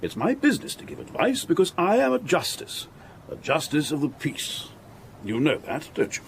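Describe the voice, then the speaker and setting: A man's voice: on the high side, sing-song, moderate pace, creaky, a little hiss or hum. An actor playing a pompous, bullying, self-satisfied English alderman in a radio drama, hectoring and condescending as he speaks. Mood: condescending, menacing.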